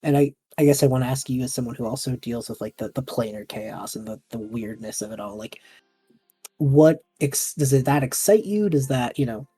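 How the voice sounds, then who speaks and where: A man hosting a casual podcast interview, thinking aloud in a halting way and rephrasing his questions as he goes. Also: mid-range voice, warm tone, breathy edge, dry recording, faint music behind. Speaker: podcast host interviewing a guest. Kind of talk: casual podcast chat about tabletop roleplaying shows.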